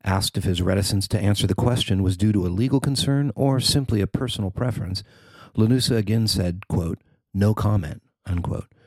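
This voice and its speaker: A man who works as a newspaper reporter, reading his own article as scripted narration in a measured, formal way. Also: fairly low pitch, darkish tone, no room sound.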